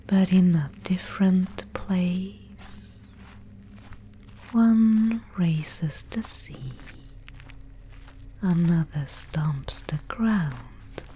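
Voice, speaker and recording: A woman playing a mysterious, solemn guide of a gloomy fantasy realm in an ASMR roleplay, whispering breathily and close to the microphone with deliberate pauses. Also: fairly low pitch, a little hiss or hum. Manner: slow, hushed, solemn storytelling with deliberate pauses.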